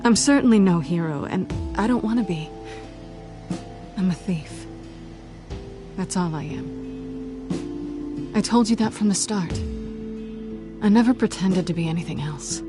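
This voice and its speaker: A woman's voice: on the low side, unhurried, long pauses, close to an everyday voice. A woman playing a sly, cynical cat burglar in a dramatic video game, teasing and coolly dismissive. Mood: defensive, cold. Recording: background music under the voice.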